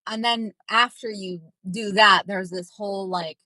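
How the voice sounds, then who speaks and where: A woman's voice: medium pitch, fairly even intonation, measured pace, bright, forceful tone, no echo, rough recording. A young woman from Minnesota, a ghostwriter guest, who talks casually and rambles with many fillers and restarts. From Minnesota, United States. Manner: rambling, filler-heavy, acts out quoted voices. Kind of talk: casual interview answers.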